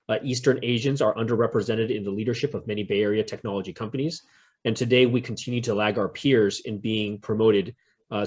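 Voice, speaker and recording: A man's voice: fairly low pitch, husky. A man hosting a community interview, talking with many fillers in a friendly, curious way. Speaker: interview host. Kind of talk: community event introduction and interview.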